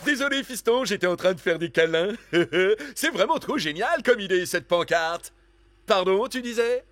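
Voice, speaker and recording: High-voiced jolly cartoon amoeba dad. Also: male voice, expressive, normal speed, a bit bright. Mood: delighted. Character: jolly, oblivious amoeba father, middle-aged. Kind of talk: French animated cartoon dubbing.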